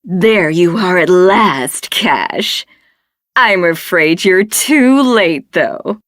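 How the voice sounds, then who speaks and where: A woman's voice: fairly low pitch, expressive, extremely slow, fairly bright tone, dry recording, clean studio sound, full character voice. A woman playing a taunting figure in a video game, drawling with a mocking manner. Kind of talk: video game dialogue acting.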